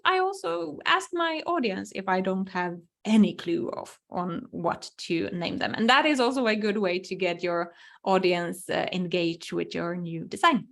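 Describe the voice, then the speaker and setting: A young woman, speaking English as an at a slow, easy pace. She answers viewer questions through a computer mic, pausing to think aloud with frequent ums and small restarts. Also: medium pitch. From Finland. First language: Swedish. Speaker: webinar host, crochet designer. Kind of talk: live webinar Q&A.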